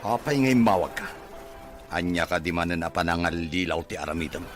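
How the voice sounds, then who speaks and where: An actor playing a bitter, wealthy, distrustful son in a radio drama, brusque and scornful in manner. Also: male voice, sits low, brisk, over music, natural voice. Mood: angry, threatening.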